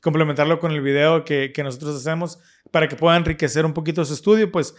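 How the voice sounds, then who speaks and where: A man hosts a scripture discussion in an earnest, reflective way. His voice sits a little higher than most men's. He often pauses on fillers and restarts his sentences. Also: fairly even intonation. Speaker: podcast host.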